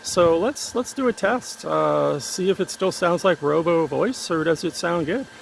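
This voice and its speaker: A man's voice: fairly high pitch, unhurried, creaky, a little hiss or hum. A man presenting a casual hands-on tech demonstration, explaining technical steps plainly and informally to his viewers. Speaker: hobbyist presenter of a radio and satellite tech vlog.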